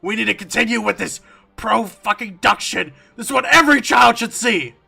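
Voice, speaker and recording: A man voicing a domineering male TV production boss in a horror story, forceful, commanding and semi-frantic. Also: sits high, moderate pace, vocal fry, husky, voice pushed up, heavily acted. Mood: frantic insistence. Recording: music under the voice.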